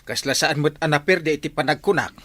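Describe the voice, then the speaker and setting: An actor with an Ilocano accent playing an exasperated but good-hearted village captain in a comedy drama. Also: male voice, on the high side, fast, a bit bright. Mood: questioning.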